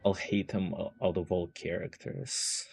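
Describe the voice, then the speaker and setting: A man's voice: sits low, flat delivery, a little slow, vocal fry, darkish tone, faint music behind. A man giving casual game livestream commentary, muttering and thinking aloud to himself.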